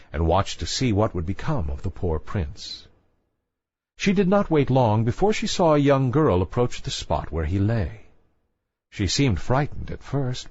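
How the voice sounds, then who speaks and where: A man with a fairly low voice narrates a children's fairy tale as a storyteller. His pitch rises and falls in a very animated way. The recording is very dry and slightly muffled, with a lo-fi dullness. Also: no room sound.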